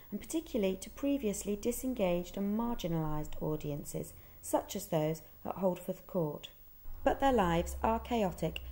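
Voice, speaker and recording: A woman reading scripted documentary narration in a calm, measured way. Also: mid-range voice. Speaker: narrator and museum project worker.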